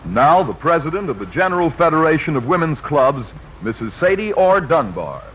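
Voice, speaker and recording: A man works as a formal 1940s radio announcer, declaiming with lively swings in a slightly high voice. The old broadcast sounds muffled and a little noisy. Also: moderate pace.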